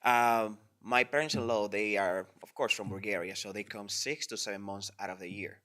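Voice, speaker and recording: A man's voice: lowish voice, steady tone, normal speed, pushed and bright. A man from the Dominican Republic, speaking English as a second language, earnestly explaining his permit request at a public hearing. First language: Spanish. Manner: earnest, filler-heavy with "you know". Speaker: permit applicant.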